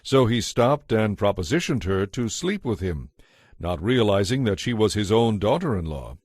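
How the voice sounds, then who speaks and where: A man's voice: on the low side, very animated, normal speed, a little mellow, no echo, studio quality. A man giving a scripted narration as the narrator of a dramatized audio Bible.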